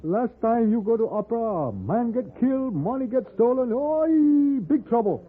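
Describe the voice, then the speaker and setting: An old, narrow radio western recording carries an excitable porter. The voice is pitched high and leaps about, scolding and fretting in broken, English. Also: male voice, measured pace, breathy edge, full character voice. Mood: fretful scolding. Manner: broken English, exclaiming, fretful. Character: excitable, scolding Chinese hotel porter, loyal and worried.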